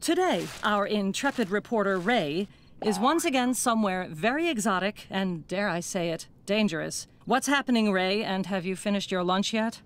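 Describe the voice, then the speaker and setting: A woman's voice: mid-range voice, animated, moderate pace, slight background noise, natural voice. A woman voicing a snide, mock-serious cat news anchor in a cartoon, with an arch and sarcastic delivery. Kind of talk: cartoon newsreader parody.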